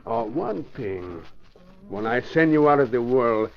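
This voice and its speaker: An actor playing a theatrical Mexican hired killer with a Spanish accent and broken English, menacing but cowardly, in 1940s radio crime drama. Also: male voice, mid-range voice, expressive, unhurried, gravelly. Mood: gloating, menacing. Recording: muffled, noisy vintage radio recording.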